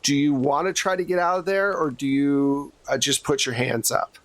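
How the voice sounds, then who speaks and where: A man's voice: a little high, fairly even intonation, normal speed, dry recording. A man hosting as game master, narrating a tabletop horror game in a conversational style. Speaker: game master and podcast host.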